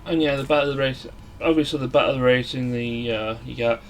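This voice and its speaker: A man's voice: medium pitch, steady tone, a little fast, rough recording. Male let's player, casual gameplay commentary, mid-range voice, hesitant explanations, music in the background. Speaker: let's play host. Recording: music behind the voice. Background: game music.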